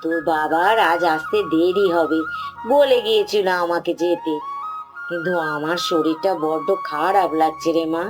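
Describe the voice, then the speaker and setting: A woman's voice: deep voice, a little slow, over music. A woman voicing a weary, ailing village mother in a cartoon fairy tale. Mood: tired, asking. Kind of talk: animated storybook dialogue.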